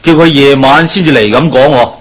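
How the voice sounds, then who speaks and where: A man plays a wry, gossiping villager in a Cantonese radio play. The voice is mid-pitched and husky, with a Hong Kong accent. He sounds matter-of-fact and sceptical. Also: fairly quick, natural voice. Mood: wry, gossipy. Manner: colloquial, wry. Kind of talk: Cantonese radio drama acting.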